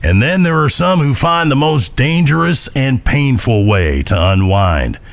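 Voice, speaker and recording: A man's voice: mid-range voice, sing-song, a little slow, creaky. A man playing a grave, wry Old West storyteller, narrating an audio drama in a folksy voice. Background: guitar music.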